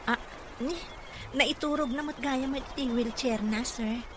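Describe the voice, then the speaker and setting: A woman's voice: sits high, unhurried. A woman with an Ilocano accent playing a polite, patient caregiver in a radio comedy drama. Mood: polite, hesitant. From Philippines. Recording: clearly noisy background ambience.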